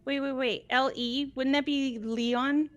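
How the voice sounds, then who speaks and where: Higher-pitched woman in casual game chat. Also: steady tone, slow, lo-fi sound. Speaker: player in a group playthrough.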